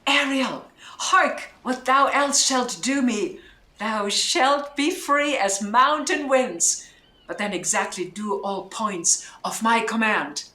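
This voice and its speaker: A woman's voice: on the high side, animated, slow pace, sharp tone, full character voice. A woman playing a stern, imperious sorceress in a Shakespearean audio drama. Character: stern, commanding sorceress ruling a spirit. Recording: echoey remote home recording.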